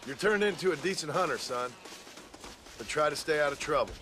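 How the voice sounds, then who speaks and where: An actor playing a gruff, plain-spoken frontier father and former gunslinger in a video game, with an American drawl. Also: on the high side, lively intonation, brisk, pushed and bright, slight background noise. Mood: wry, tender. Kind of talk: dramatic video game acting.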